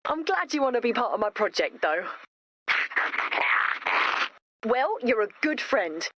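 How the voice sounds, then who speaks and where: A woman's voice: fairly high pitch, big pitch swings, normal speed, dull audio, very noisy. A woman playing an eager, kindhearted young inventor in a video game, bubbly and theatrical. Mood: chatty, coaxing. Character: eager, kindhearted young female inventor, excitable and well-meaning. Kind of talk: animated video game character dialogue.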